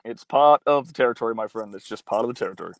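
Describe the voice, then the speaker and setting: A man's voice: medium pitch, racing, no room sound. Young male streamer, phone mic, very dry close sound, rambling and crude. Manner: blunt, profane, rambling with many fillers. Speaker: livestream host reading viewer comments. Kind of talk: casual profane live-stream chat. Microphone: mobile phone.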